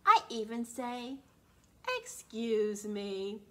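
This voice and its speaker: A woman voicing a big, gentle male dinosaur from a picture book, speaking slowly and proudly to reassure listeners. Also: on the high side, steady tone, full character voice.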